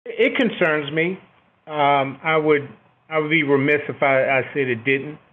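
A middle-aged man with a booming voice, an officer answering interview questions reflectively and often checking in with "right?". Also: measured pace. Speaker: military officer, podcast guest. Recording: thin, phone-like band-limited line.

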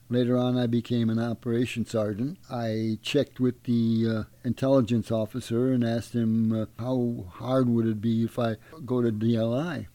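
An older man, a retired army veteran, recalling his past in a halting way with many pauses. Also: mid-range voice, warm tone, dry recording. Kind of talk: casual recollection.

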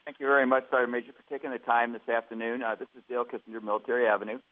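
A man's voice: mid-range voice, flat delivery, very fast. A man calling in as a blogger, asking a question with many fillers. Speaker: caller, blogger. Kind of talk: question on a call. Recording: tinny phone line.

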